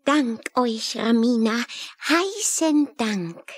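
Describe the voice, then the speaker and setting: A woman's voice: fairly high pitch, lively intonation, extremely slow, breathy edge, no room sound, heavily acted. A woman playing a brave, polite young girl on a quest in a children's audio drama, earnest in manner. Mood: polite urgency.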